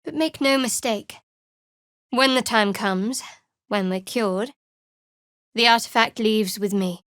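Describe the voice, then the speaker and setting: A young female cleric sworn to a dark goddess, voiced for a video game. Her voice sits a little high for a woman. She is guarded and sardonic, with dry, wry phrasing, recorded close with no room sound at all. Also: slow, no echo. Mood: cool, stern. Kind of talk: video game character dialogue. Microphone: close studio microphone, dry.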